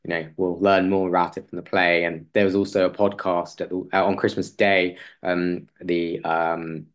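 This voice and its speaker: A man speaking as an interview guest in a conversational way, hesitant and halting, often rephrasing as he goes. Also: deep voice, level tone.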